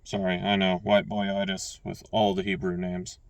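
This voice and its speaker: A man reading scripture aloud, stumbling and restarting on names and clearing his throat now and then. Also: deep voice, completely flat delivery, normal speed, no echo, distant mic. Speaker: scripture reader.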